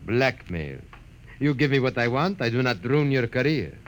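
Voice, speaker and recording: An actor playing a suave, sinister doll-maker and blackmailer in an old-time radio drama, speaking in a silky, menacing, theatrical way. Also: male voice, mid-range voice, expressive, moderate pace, close to an everyday voice. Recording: old radio recording with faint hiss.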